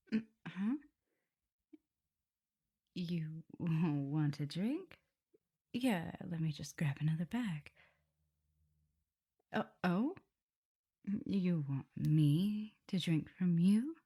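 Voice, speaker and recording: Woman acting a low, breathy vampire mistress. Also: animated, full character voice. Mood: hungry, hesitant. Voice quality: soft, breathy, often whispering. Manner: halting, hesitant delivery. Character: female vampire mistress, tender, guilt-ridden, afraid of her hunger. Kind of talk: ASMR roleplay acting, intimate address to listener.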